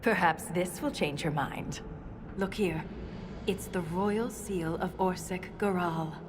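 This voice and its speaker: A woman playing a principled, dryly stubborn dwarf historian in a video game, speaking in a measured, precise way. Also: on the low side, noisy background. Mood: dry, sparring. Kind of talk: video game cutscene dialogue. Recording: game music behind the voice. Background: music.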